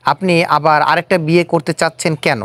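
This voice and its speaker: Bangladeshi interviewer, middle pitch, casual probing questions, repeats stuff back, dry sound. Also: level tone, moderate pace, fairly bright tone, no echo. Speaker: interviewer and host. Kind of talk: casual on-camera street-style interview.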